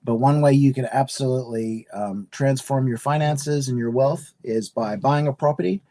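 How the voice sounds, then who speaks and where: A middle-aged man from Australia hosting a live home-buying webinar, upbeat and chatty with frequent fillers, a little hoarse from a cold. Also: mid-range voice, normal speed, mellow.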